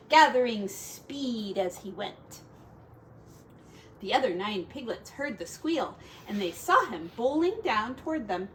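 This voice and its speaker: A woman's voice: on the high side, a little hiss or hum, poor audio. A woman who teaches, reading a picture book aloud to children expressively, drawing out key words for drama and adding casual asides.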